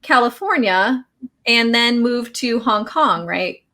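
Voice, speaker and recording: A woman hosting an interview in a bright, forward voice. She sounds eager and encouraging, often pausing on fillers as she works toward her questions. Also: on the high side, animated. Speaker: interview host. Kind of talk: casual interview chat.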